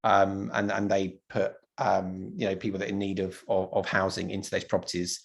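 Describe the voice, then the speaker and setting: A man from London giving an online property presentation in a relaxed, conversational way, with lots of ums and restarts. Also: low voice, moderate pace, creaky. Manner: casual, many ums and restarts.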